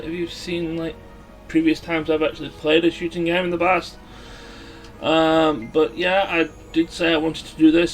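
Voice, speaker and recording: A man with a mid-pitched voice gives casual gameplay commentary as a Let's Play host. He rambles hesitantly and corrects himself as he goes. Game music plays faintly under his voice.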